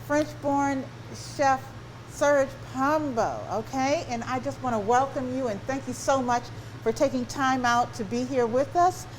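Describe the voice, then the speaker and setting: A woman's voice: high-pitched, a little slow, a little hiss or hum, rough recording. A woman hosting a casual on-location interview in a kitchen. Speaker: host.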